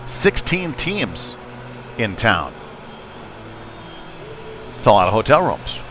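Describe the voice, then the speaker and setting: A man's voice: fairly low pitch, very animated, slow pace, a bit bright, soft background music. A man doing live ice hockey play-by-play in a conversational way, thinking aloud as he goes. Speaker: play-by-play sports commentator. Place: indoor ice rink. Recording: noisy live rink broadcast.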